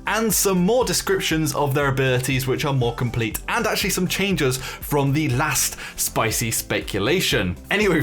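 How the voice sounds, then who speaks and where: A man's voice: fairly high pitch, fairly bright tone. A man giving casual, chatty commentary as the host of a gaming news video.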